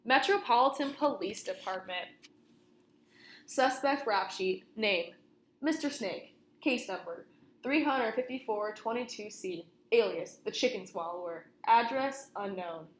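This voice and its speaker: A woman's voice: medium pitch, lively intonation, a little slow, a little hiss or hum. A young woman reading a children's story aloud in the manner of a storytelling session for kids. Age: young adult. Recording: roomy, echoing room sound.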